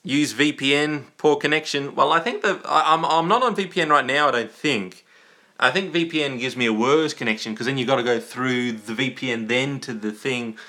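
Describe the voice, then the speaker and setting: A man's voice: mid-range voice, a little fast, sharp tone. An Australian man hosting a casual livestream, chatting in a rambling, jokey way and often interrupting himself. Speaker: livestream host.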